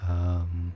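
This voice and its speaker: A man chatting casually and soft-spoken with his livestream viewers, rambling in a relaxed way. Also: deep voice, lo-fi sound, background noise. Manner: relaxed, soft-spoken ASMR yapping, often chuckling. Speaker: streamer, VTuber host.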